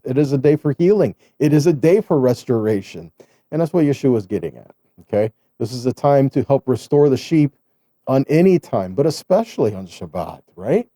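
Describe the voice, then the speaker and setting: A man's voice: fairly high pitch, warm tone, no echo, clean studio sound. A man giving a conversational sermon as a Bible teacher, reading scripture and explaining it with rhetorical questions and frequent check-in tags.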